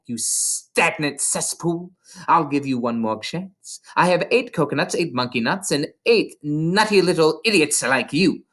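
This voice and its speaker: A reader voicing a huge, bullying female headmistress who barks insults and shouts. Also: mid-range voice, voice pushed up.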